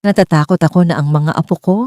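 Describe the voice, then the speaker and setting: A woman's voice: low-pitched, fairly even intonation, fast, dark tone, dry recording, studio quality, natural voice. A woman voicing an angry, bitter older mother-in-law who speaks with scornful accusation and hurt in a dramatic story reading. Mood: fearful, wounded.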